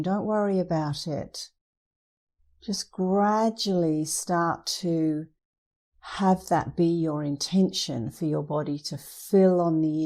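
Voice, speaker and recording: Slow, soft-toned woman guiding meditation. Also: on the low side, gravelly. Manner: soothing; gentle, unhurried instruction with pauses. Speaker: meditation instructor. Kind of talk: guided meditation.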